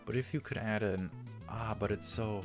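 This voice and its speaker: A man's voice: fairly low pitch, steady tone, normal speed, over music, pitched above the performer's own voice, natural voice. A man voicing a lecherous, pushy male nerd in a visual novel read-through, leering and pleading.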